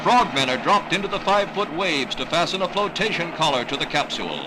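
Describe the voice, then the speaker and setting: An American man reading scripted 1960s newsreel narration in a dramatic, measured announcer delivery. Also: on the high side, big pitch swings, noisy background. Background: music.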